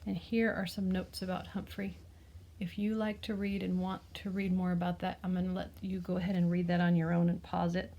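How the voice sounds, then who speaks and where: A woman's voice: fairly low pitch, little pitch movement, a little fast, dull audio. A woman reading a children's picture book aloud expressively, like a teacher, with explanatory asides and questions to the listener. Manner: explains words and asks the listener gentle questions.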